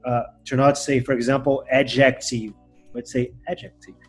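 Brazilian guy hosting a podcast, portuguese accent, a bit husky, gets really animated, faint guitar behind. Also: normal speed. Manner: animated, jumps up in pitch and loudness when excited. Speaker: podcast host. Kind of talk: casual interview chat.

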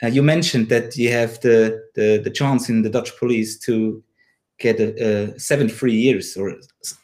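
Man, mid-range pitch, German accent, native German speaker, hesitant, word-searching, repeated sentence starts, casual podcast host. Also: steady tone, normal speed, a little mellow. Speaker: podcast host, dog trainer. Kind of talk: casual interview.

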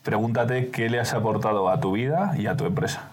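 A man's voice: fairly low pitch, brisk, slight background noise. A man with a Spanish accent, a company director speaking as a guest on a sales podcast, explaining his methods step by step. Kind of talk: podcast interview.

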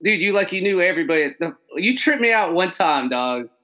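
A man hosting a casual podcast interview over a video call, excitable and slangy, saying dude a lot. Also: high voice, a little fast. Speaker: podcast host.

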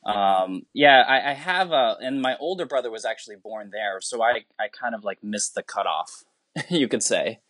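A man, a teacher from the United States, talking as a guest in a relaxed interview. He is earnest and rambling, restarting his sentences often, and his voice sits fairly low. The sound is dry and close. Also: normal speed, dry recording. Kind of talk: casual conversational interview.

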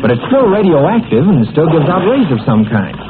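A man's voice: a little high, very animated, normal speed. An actor playing a brave, confident teenage boy adventurer in a 1940s American radio serial, earnest and explanatory, with an American accent. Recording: noisy, tinny old radio recording.

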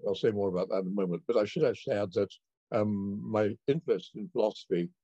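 Older guy, dark husky voice, kinda dull lo-fi sound, talks slow and thoughtful. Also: fairly low pitch, no echo. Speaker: retired philosophy lecturer, branch officer. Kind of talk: roundtable introduction.

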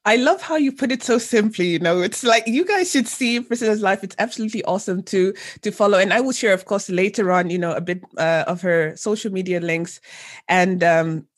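A woman's voice: mid-range voice, fairly quick. Enthusiastic podcast host woman from Zambia. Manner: warm, enthusiastic, many fillers like "you know". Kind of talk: casual interview chat.

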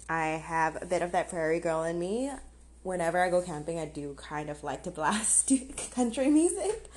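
A woman's voice: fairly low pitch, a little mellow. A young woman chatting casually and candidly on a solo podcast, with an informal manner. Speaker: podcast host. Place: outdoors on a patio.

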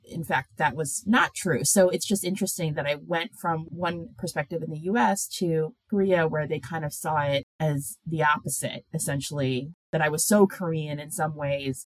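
A woman's voice: low-pitched, steady tone, moderate pace, few pauses, no echo, rough recording. An American woman in her thirties, a podcast guest, telling her story in a reflective way with light laughs. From United States. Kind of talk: casual podcast conversation.

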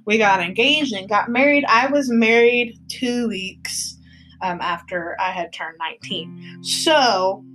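A woman's voice: medium pitch, slow pace, fairly bright tone, faint music behind, low-quality recording. A woman, co-hosting a faith podcast, chatty and affirming. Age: 20s. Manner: affirming, chatty, frequent agreement. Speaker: podcast co-host. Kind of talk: casual faith podcast chat.

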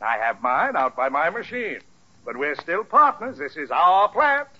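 Pompous, bright-voiced company boss. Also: male voice, a little high, big pitch swings, unhurried, heavily acted. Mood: genial, condescending. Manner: pompous, theatrical, jovially persuasive. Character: hearty, wily, condescending male company boss. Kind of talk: 1940s radio sitcom acting. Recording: muffled vintage radio sitcom recording.

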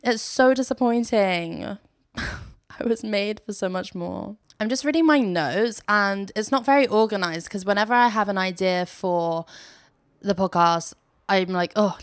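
A woman's voice: medium pitch, unhurried, fairly bright tone, no echo, clean studio sound. A young woman hosting a casual solo podcast from her sofa, chatty and self-mocking, laughing often as she talks. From Philippines. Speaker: podcast host. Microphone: phone.

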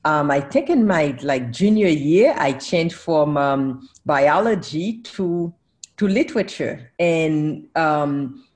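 A woman's voice: low voice, lively intonation, slow pace, darkish tone. A woman in her forties, born in Haiti, speaking as a professor guest on a casual podcast, who laughs often and corrects herself as she goes.

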